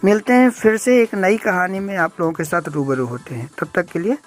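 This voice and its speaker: Low-pitched woman storyteller over music. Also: fairly quick. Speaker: host and storyteller of a story show. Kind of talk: spoken storytelling with a moral lesson, addressed to listeners. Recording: music bed under the voice. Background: background music.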